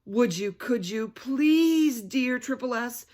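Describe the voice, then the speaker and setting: A woman's voice: on the high side, expressive, takes it slowly, darkish tone. A woman voicing a dramatic, bargaining young girl in a children's book, pleading and emphatic. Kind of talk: children's book read-aloud.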